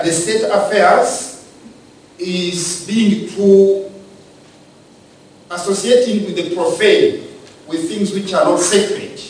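A man from Uganda giving a measured, lecture-style talk on the church's role in society, pausing and restarting his phrases. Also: high voice, a little mellow, slight background noise. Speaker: speaker on church and state. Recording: echoey room from far off.

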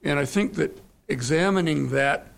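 Very gravelly man, measured comment. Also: a little high, a bit bright. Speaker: audience member. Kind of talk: considered spoken comment.

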